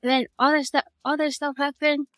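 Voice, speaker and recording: A woman's voice: high-pitched, flat delivery, a little fast, a bit bright, no room sound, clean studio sound, faint music behind. Chatty teen girl, raised in American Samoa. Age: teens. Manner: rambling, chatty, with many restarts and asides. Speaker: high school student guest. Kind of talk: casual interview chat.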